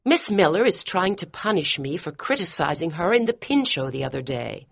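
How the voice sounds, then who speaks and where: A cold, sarcastic middle-aged hostess, voiced by a male reader, prim and disapproving in every word. Her pitch moves a lot, lifting and dropping. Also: big pitch swings, moderate pace, gravelly, a bit bright, heavily acted.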